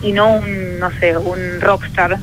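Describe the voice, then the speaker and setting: A woman's voice: lowish voice, flat delivery, slow pace, noisy background. An Argentine woman, a sociologist and field hockey player, answering earnestly in a radio interview with frequent restarts. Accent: Argentine Spanish. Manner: earnest, thoughtful, many restarts and fillers.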